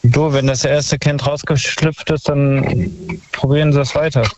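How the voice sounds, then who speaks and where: A man's voice: medium pitch, fairly even intonation, measured pace, darkish tone. A man calling a talk show by phone, chatting in a blunt, slangy, opinionated way. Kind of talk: casual, slangy phone chat. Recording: muffled, dry phone call audio.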